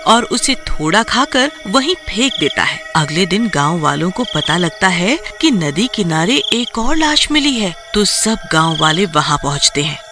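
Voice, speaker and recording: A man's voice: high voice, big pitch swings, measured pace, hardly any pauses. A man narrating a scripted children's horror story as the storyteller. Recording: music clearly behind the voice.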